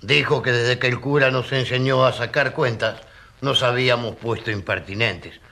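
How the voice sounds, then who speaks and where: An aggrieved farmhand testifying in a radio drama. He speaks simply and bluntly in a forceful, bright voice of middling pitch. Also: fairly even intonation, normal speed, gravelly. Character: plain-spoken aggrieved peasant witness. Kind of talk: courtroom radio drama acting.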